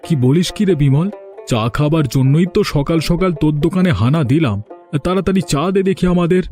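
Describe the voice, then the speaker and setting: A man's voice: on the high side, fairly quick, a little mellow, faint music behind, close to an everyday voice. A man playing an easygoing, chatty village tea-stall customer in a cartoon story. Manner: familiar, chatty.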